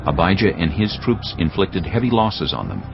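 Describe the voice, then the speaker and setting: Male narrator, very low voice, animated intonation, scripted scripture storytelling, slightly dull audio, soft music underneath. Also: moderate pace, gravelly. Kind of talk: scripted dramatized Bible narration.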